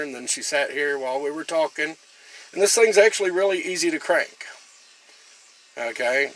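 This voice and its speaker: A man's voice: medium pitch, fairly bright tone, rough recording. A man talking casually through a product demonstration in a plain-spoken, folksy and chatty way. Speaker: gear reviewer and camper.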